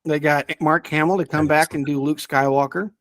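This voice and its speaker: A man's voice: on the high side, fairly even intonation, normal speed, a bit bright, dry recording. A man chatting casually and enthusiastically as he presents picks on a podcast, often chuckling as he talks. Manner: enthusiastic, chuckles while talking. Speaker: podcast guest presenting a ranked list.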